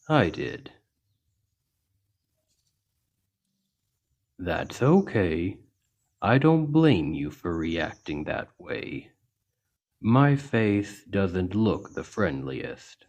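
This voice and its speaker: Man voicing a deep, slow-talking gentle orc. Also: highs cut off, full character voice. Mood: gentle, reassuring. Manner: slow, gentle, reassuring, deliberate. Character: towering seven-foot male orc healer, gentle and patient. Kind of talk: ASMR roleplay spoken to the listener.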